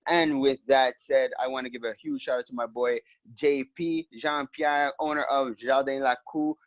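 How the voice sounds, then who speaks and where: A man's voice: medium pitch, fairly even intonation, normal speed, no echo. A man hosting a community interview, casual and upbeat with slangy talk. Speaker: host. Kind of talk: casual interview over video call. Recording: dry, lo-fi call audio.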